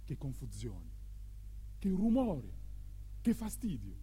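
A man's voice: medium pitch, wildly swooping pitch, slow, warm tone, slightly rough, big-room sound, heavily acted. A man playing a mocking theatrical orator in a radio drama, declaiming with insistent, incantatory repetition. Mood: solemn, ominous. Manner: incantatory, repeating phrases insistently.

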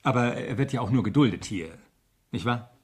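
A man's voice: medium pitch, lively intonation, a little fast, husky. An actor playing a formal, reserved, disapproving subordinate policeman in a 1970s German radio crime play. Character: stiff, correct, disapproving new policeman, male subordinate. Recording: 1970s radio recording, faint noise.

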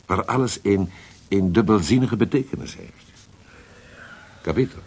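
Scheming italian poet in a radio play, really low husky voice, thick with theatrics, italian accent. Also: male voice, darkish tone. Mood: expansive, proud. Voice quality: gravelly, husky. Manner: theatrical, halting, peppering speech with Italian words. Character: worldly, scheming Italian poet, fallen from court favour. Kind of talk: radio drama acting.

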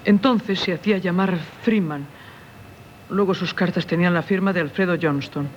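A woman's voice: on the low side, moderate pace, lightly acted. An actress with a Castilian Spanish accent playing a tormented married woman haunted by a former lover, in solemn, confessional radio theatre style. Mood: wistful. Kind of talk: 1970s radio theatre acting. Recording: dull, noisy 1970s radio recording.

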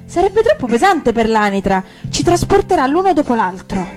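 High-voiced woman reading a fairy tale. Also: sing-song, normal speed, over music. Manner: playful, hesitant, sings some lines. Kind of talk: acted radio storytelling.

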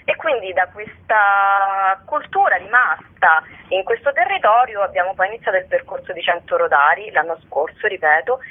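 A woman's voice: medium pitch, husky. A woman being interviewed as a project's creator, explaining earnestly in long, wordy sentences. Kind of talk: spoken radio interview. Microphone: telephone. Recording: narrow, tinny phone line.

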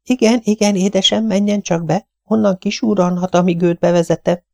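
A man's voice: high-pitched, steady tone, vocal fry, darkish tone, dry recording, studio quality, voice pushed up. A man voicing a gushing, fussy middle-aged spinster in a fluttery, breathless voice. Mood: flustered whisper.

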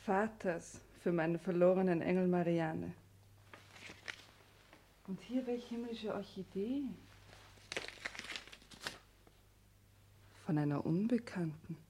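An actress in a 1960s German radio drama playing a young aristocratic bride, proud and wistful. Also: female voice, fairly low pitch, a little slow, pauses often, warm tone. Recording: faint hiss, old radio recording.

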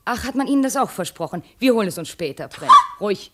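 An actress playing a shrewd young slave posing as a princess, arch, teasing and commanding, in 1950s radio play style. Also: female voice, medium pitch, expressive, fast, very noisy, close to an everyday voice. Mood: coy, commanding.

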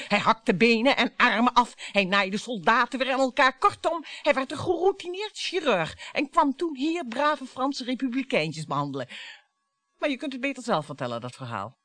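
A woman's voice: fairly high pitch, fairly bright tone, dry recording. A woman in a Dutch radio play acting a bitter, sarcastic wife who needles her husband in a theatrical, storytelling way.

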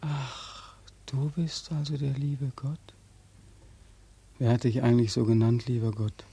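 Bitter, husky young war veteran. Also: male voice, mid-range voice, flat delivery, unhurried, dark tone, full character voice. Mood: accusing, bitter. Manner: anguished, accusing, sardonic, rhetorical repetition. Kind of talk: 1940s German radio drama acting. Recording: dry 1940s radio studio recording.

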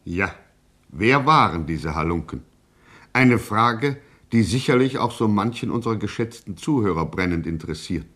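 Narrator, lowish male voice, pompous, mock-solemn, scripted radio crime satire. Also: fairly bright tone.

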